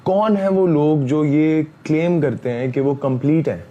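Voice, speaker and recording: A man, speaking emphatically as an interview guest, repeating himself and often pausing on fillers. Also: on the high side, mellow, highs cut off. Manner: emphatic, repetitive, frequent fillers. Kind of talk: heated interview talk.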